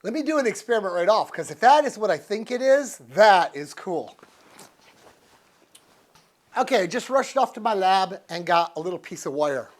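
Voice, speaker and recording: American guy in his 60s doing a laid-back review, folksy, kinda thinking out loud as he talks. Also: high-pitched, lively intonation, moderate pace. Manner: folksy, easygoing, thinking aloud. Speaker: product reviewer. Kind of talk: casual unscripted review chat to camera. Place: living room with couch, indoors.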